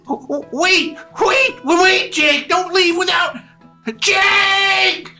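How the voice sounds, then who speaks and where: An actor playing an anxious, haunted old rancher in a Western radio play, stammering and halting. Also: extremely high pitch, creaky, pushed and bright, soft background music, exaggerated voice. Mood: pleading, frightened.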